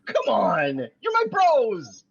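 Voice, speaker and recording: Man reading eager young guy, husky voice, muffled lo-fi mic. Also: high voice, wildly swooping pitch, takes it slowly, full character voice. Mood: pleading. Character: eager young man pitching a new roommate. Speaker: podcast host reading a part. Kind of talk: amateur table read.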